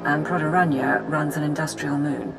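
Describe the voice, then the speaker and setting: Game role: efficient female logistics officer, low-pitched, clipped briefing manner, heard in a big reverberant space. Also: steady tone, moderate pace. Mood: brisk, businesslike. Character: brisk, efficient female military logistics and operations coordinator. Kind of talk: video game voice acting. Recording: reverberant hall, music behind.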